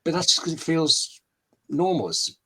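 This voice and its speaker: A man's voice: fairly high pitch, big pitch swings, normal speed, bright, forceful tone, breathy edge. A man answering interview questions as a scriptwriter guest on an online webinar, candid and self-deprecating, speaking in short emphatic phrases.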